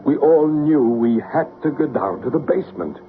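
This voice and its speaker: An actor playing a calm man who leads a seance and narrates the story, in a 1970s radio drama. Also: medium pitch, natural voice. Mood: narrating. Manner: solemn, measured, hushed. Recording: tinny 1970s radio broadcast with faint music.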